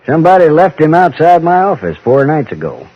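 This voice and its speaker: An actor playing a blunt, guarded Western sheriff with an American Western accent and folksy, terse speech in an old-time radio drama. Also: male voice, fairly high pitch, big pitch swings, fairly quick, slightly rough. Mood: matter-of-fact, guarded. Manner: terse, drawling rustic speech. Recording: dull, muffled old radio recording.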